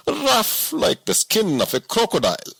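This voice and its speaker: Guy doing a poor honest woodcutter for an audiobook, pitched way up, husky, swoops around a lot. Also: wildly swooping pitch, unhurried, a bit bright, no echo, heavily acted. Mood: skeptical. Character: poor hardworking woodcutter, frank and wondering, family man. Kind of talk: audiobook storytelling.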